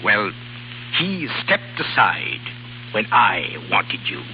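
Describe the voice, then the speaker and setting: An actor playing a doting, tipsy older baron who stammers, repeats himself and rambles in 1930s radio drama style. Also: male voice, fairly low pitch, very animated, slow. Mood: sentimental, tipsy. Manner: stammering, repetitive, chuckling. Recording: dull, hissy 1930s radio recording.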